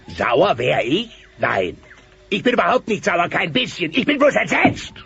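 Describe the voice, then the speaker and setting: An actor playing a scheming, greedy dinosaur company boss in a children's audio drama, theatrical and condescending. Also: male voice, high-pitched, sing-song, a little slow, creaky, a bit bright, heavily acted. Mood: falsely sympathetic. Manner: theatrical, mock-sympathetic, condescending. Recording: dull lo-fi cassette transfer.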